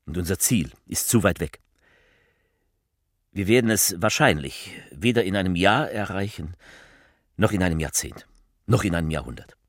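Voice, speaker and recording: An actor playing a political orator who delivers a bleak, defeatist speech in a theatrical radio play, declamatory and repetitive, with a husky voice. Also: male voice, low-pitched, expressive, no echo, studio quality. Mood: subdued, resigned. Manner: declamatory, repeating phrases with rhetorical pauses.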